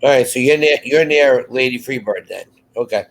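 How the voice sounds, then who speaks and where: A man's voice: mid-range voice, normal speed. A man chatting casually as co-host of a live show, rambling and restarting his phrases as he goes. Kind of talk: casual chat.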